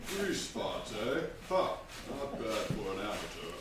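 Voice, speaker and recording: Actor as animated police inspector, echoey hall. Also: male voice, fairly low pitch, slow. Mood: wry. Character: authoritative, wry police inspector. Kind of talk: stage radio drama acting. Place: theatre stage, live performance. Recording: very echoey hall, distant mic, clear noise.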